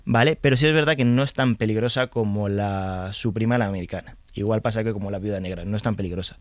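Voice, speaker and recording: A man's voice: fairly low pitch, fast talker, dry recording. A man, a guest who explains spiders in a casual podcast chat, full of fillers. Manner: explains at length with many fillers.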